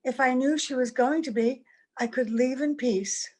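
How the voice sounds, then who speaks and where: A fairly high female voice from an actress as a wistful gentlewoman with a secret in an old-fashioned radio play. She speaks earnestly and gently, with a touch of melodrama. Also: moderate pace. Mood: wistful, anguished. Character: wistful, secretive mother posing as a young visitor. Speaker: actor in an amateur radio play. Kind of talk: old-time radio drama acting. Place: at home, recorded over video call.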